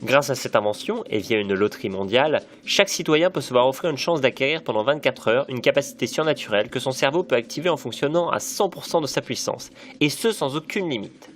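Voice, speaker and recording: A man's voice: mid-range voice, expressive, a little fast, hardly any pauses, natural voice. A man playing a solemn, showy TV reporter in an audio drama, presenting formally and emphatically. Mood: solemn, celebratory.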